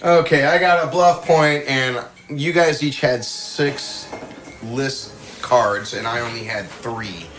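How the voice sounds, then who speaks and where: A man's voice: medium pitch, fairly even intonation, slow pace, a bit bright. Casual man hosting a game podcast. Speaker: game show podcast host. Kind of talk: casual banter, scorekeeping in a party game.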